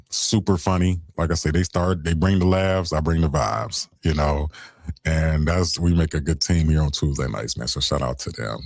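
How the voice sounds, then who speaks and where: A young man hosts a late-night radio show in a relaxed, chatty way. His voice is very low and gravelly, with a lot of vocal fry. The sound is dry and close, with little room echo. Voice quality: deep voice. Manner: laid-back, conversational, giving shout-outs.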